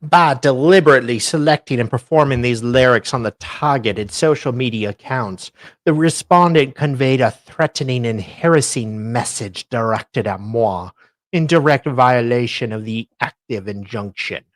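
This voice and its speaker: A man's voice: mid-range voice, moderate pace, no room sound. A man co-hosting a show, reading legal filings dramatically with sardonic, mock-theatrical asides. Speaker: co-host doing a dramatic reading.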